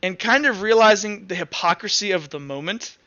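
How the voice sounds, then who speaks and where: High, bright-voiced man running a game. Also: expressive, a little fast, dry recording, dull audio. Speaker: game master. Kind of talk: improvised tabletop roleplay narration and rules talk.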